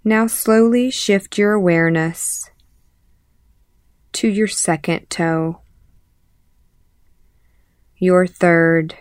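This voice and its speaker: A woman leading a guided meditation as an instructor, calmly and in short, measured phrases with pauses. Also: fairly low pitch, no room sound, clean studio sound. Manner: calm, measured, pausing between short phrases. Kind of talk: guided body scan meditation.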